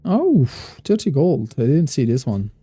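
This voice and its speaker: Guy streaming a game, chatting with chat in halting english, deep mellow voice, dry sound. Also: huge pitch swings, husky, no room sound. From Morocco. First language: Moroccan Arabic. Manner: thinks aloud, chats with viewers, halting non-native phrasing. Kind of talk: casual live-stream gameplay commentary.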